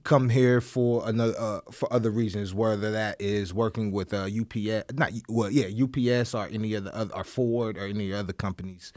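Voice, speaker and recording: A man's voice: fairly low pitch, steady tone, a little fast, hardly any pauses, darkish tone, no room sound, clean studio sound. A man hosting an interview podcast, listening closely and asking about his guest's background. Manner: attentive, frequent short backchannels. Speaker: podcast host. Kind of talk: interview questions. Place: podcast studio.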